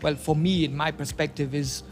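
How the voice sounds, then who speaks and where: Reflective Kenyan man, slightly high voice. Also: steady tone, moderate pace, slight background noise. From Nairobi, Kenya. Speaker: guest, street artist. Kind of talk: casual reflective chat.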